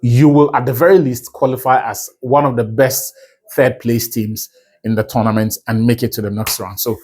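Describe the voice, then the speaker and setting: A man's voice: medium pitch, fairly even intonation, normal speed, a little mellow. Ghanaian man breaking down football. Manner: fluent, self-correcting, chatty asides. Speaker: football analyst. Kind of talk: solo football analysis video.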